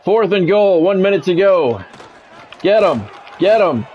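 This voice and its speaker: A man's voice: high voice, lively intonation, measured pace, highs cut off, a little hiss or hum. A middle-aged man commentating a sports video game in radio play-by-play style, with short clipped calls and casual, salty asides. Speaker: gaming streamer and play-by-play commentator.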